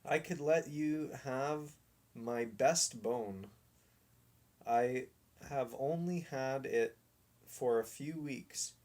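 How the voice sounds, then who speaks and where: A man's voice: mid-range voice, very slow, a little mellow. A man voicing an eager, honest talking dog in a children's storybook, earnest and beseeching. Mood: hopeful offering. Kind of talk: children's storybook read aloud.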